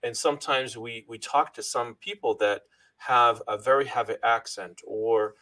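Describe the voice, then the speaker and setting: A man's voice: mid-range voice, fairly even intonation, normal speed, sharp tone. A middle-aged man originally from Lebanon, a guest in an interview, telling his life story earnestly and thanking God often, with frequent restarts.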